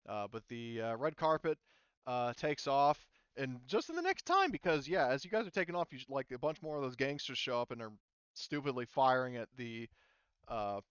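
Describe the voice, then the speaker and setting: A man running a tabletop game as game master, narrating and explaining rules in an informal, halting way. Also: medium pitch, fairly bright tone, smooth, dry recording, dull audio. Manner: informal, halting, often rephrasing mid-sentence.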